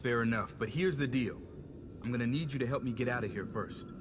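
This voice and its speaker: A man's voice: medium pitch, a little fast, noisy background, lightly acted. A man playing a savvy, self-interested tech operative in a video game, talking in a wry, slangy, casual way. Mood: businesslike. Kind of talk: video game cutscene acting.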